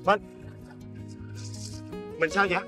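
A man's voice: slow, music in the background, lightly acted. A man playing a young, poor, kindly peasant husband in a televised fairy tale, with a Southern Vietnamese accent and an earnest manner. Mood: caring, weary.

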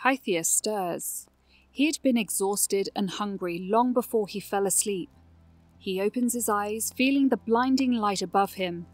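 A woman's voice: mid-range voice, unhurried. A woman narrating a scripted fantasy audio drama.

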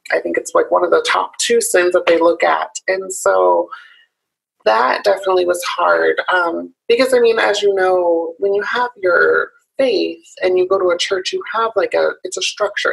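A young woman from South Carolina, raised in Illinois, speaking as an interview guest over a video call. She talks earnestly and openly, often pausing with ums. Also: mid-range voice, vocal fry, husky, rough recording. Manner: earnest and open, with frequent ums. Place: small home room.

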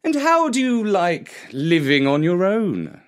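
A man voicing a sly, flattering fox with theatrical, smooth talk in a children's storybook. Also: high-pitched, takes it slowly, pitched above the performer's own voice, full character voice.

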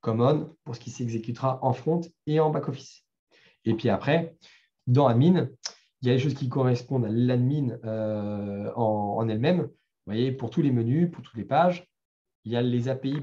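A man's voice: medium pitch, moderate pace, dark tone, muffled recording. A middle-aged man with a French accent giving a conversational talk at a developer meetup, full of fillers and restarts. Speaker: meetup speaker. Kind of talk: live talk with screen demo.

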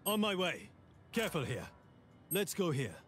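An actor playing a tactical shooter game agent, giving short, urgent, clipped team commands. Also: male voice, high-pitched, big pitch swings, moderate pace, fairly bright tone, slightly rough, heavily acted. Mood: terse, alert. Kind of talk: short video game voice lines.

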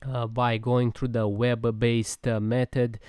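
A man's voice: mid-range voice, flat delivery, slow, darkish tone, clear, resonant tone, dry recording. A man narrating a casual app tutorial, hesitating with many fillers, recorded close to the mic. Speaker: tech tutorial host.

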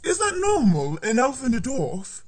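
A woman's voice: high-pitched, huge pitch swings, moderate pace, a little hiss or hum. A woman playing an emotional, anxious mother dragon in a fantasy audio drama. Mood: flustered. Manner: anxious, exclaiming.

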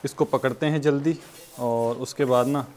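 A man's voice: mid-range voice, steady tone, moderate pace, a little mellow. A young man from Pakistan narrating a casual vlog outdoors on farmland and a building site, laughing often between sentences, recorded on a lapel mic. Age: young adult. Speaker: vlogger. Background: faint outdoor ambience.

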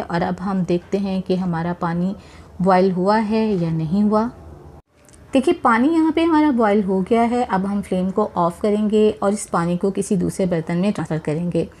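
A woman hosting a cooking channel, talking viewers through a recipe in a chatty voice-over, often adding blessings as she works. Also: mid-range voice. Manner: warm, chatty, repeats religious blessings while cooking; addresses viewers directly, encouraging. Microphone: voice-over, speaker not seen.

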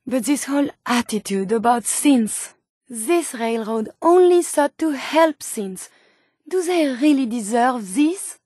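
A woman's voice: high-pitched, expressive, slow, a little mellow, dry recording, clean studio sound, full character voice. A woman voicing an eager, idealistic robot scientist in a video game, speaking precise, formal lines with a French accent. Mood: troubled, moral concern. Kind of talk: scripted video game companion dialogue.

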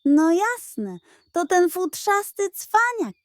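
A woman's voice: extremely high pitch, big pitch swings, slow pace, no room sound, voice pushed up, full character voice. A woman voicing a cunning, hot-tempered male pug in a children's tale, with an indignant, emphatic manner. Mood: furious.

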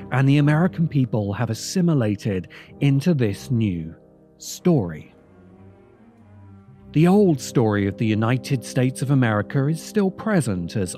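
A man narrating a scripted video essay in a measured manner, pausing for emphasis. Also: medium pitch. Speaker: host and narrator. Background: music.

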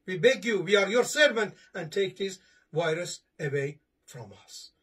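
Husky high-voiced man preaching a sermon. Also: animated, unhurried, fairly bright tone. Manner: earnest, repetitive, with many restarts, gesturing while reading from papers. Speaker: imam preaching a Friday sermon. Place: indoor study lined with bookshelves. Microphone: camera in front at a few steps.

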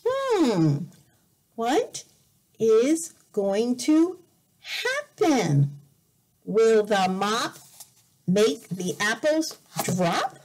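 A middle-aged woman reads to young children like a teacher. Her voice sits fairly high and swings widely in pitch. She goes very slowly and deliberately, repeating and explaining simple words with expressive emphasis. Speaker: volunteer teacher reading a picture book to young learners. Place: indoor room at home.

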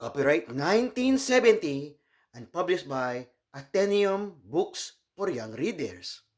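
A man reads a children's story aloud as its host. His voice sits a little high and moves with very animated intonation. He takes his time, delivering each phrase slowly and expressively. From Philippines.

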